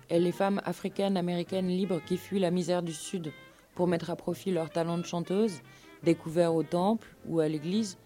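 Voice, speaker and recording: A woman narrates a scripted radio documentary in a mellow, soft-edged voice. There is light music behind her as she tells the story live. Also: lowish voice.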